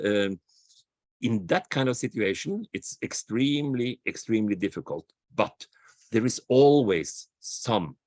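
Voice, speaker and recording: A man speaking as a panelist in a webinar, slow and measured, repeating phrases for emphasis over a video call. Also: on the low side, a little mellow, no room sound. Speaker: dialogue practitioner, panelist. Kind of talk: webinar talk, reflective.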